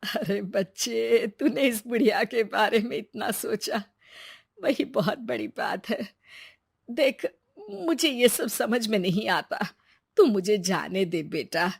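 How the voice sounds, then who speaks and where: A woman voicing a gentle, self-effacing old village grandmother in a cartoon moral story, affectionate and hesitant. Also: a little high, slow, gravelly, husky, dry recording, clean studio sound, exaggerated voice. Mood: confused, affectionate. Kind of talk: scripted animated moral story dialogue.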